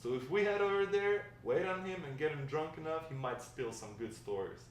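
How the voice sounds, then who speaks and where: A man's voice: a little high, lively intonation, brisk, close to an everyday voice. Wry old grandfather recounting shady past, played by a man, table read, heard from afar in a roomy, faintly noisy space. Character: wry old grandfather spinning tales of his drug-dealing past. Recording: distant, very echoey room, faint noise.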